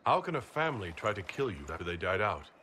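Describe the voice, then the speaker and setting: An actor playing a steady, protective leader in a video game, speaking in a measured, plain and polite way. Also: male voice, lowish voice, slight background noise. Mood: concerned, questioning. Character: calm, steady, protective heroic male leader. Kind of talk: video game cutscene acting.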